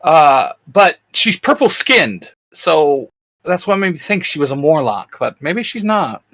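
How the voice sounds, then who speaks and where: A man with a bright, somewhat high voice chats casually as a co-host. He thinks aloud and checks himself as he goes. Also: big pitch swings, a little slow. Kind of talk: casual chat.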